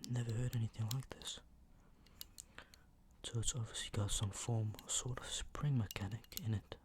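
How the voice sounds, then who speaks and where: A man speaking in a hushed murmur that slips into whispering, with a sore throat, casually showing items for ASMR. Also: fairly low pitch, steady tone, normal speed, a little hiss or hum. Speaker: ASMR video creator. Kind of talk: ASMR, soft casual product show-and-tell.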